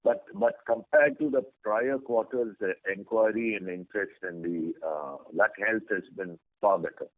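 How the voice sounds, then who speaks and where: A man speaking as a company executive on an earnings call, giving business updates in measured, hesitant phrasing. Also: on the low side, no room sound. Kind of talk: business update and answering analyst questions. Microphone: phone conference line. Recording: phone-like conference call line.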